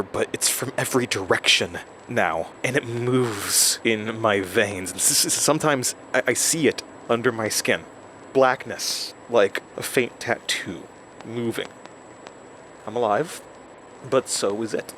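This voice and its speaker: An actor in an audio drama playing a rattled, self-doubting man who records a halting, stammering journal, talking to himself. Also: medium pitch, lightly acted. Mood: haunted, confiding. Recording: steady noise, like inside a moving car.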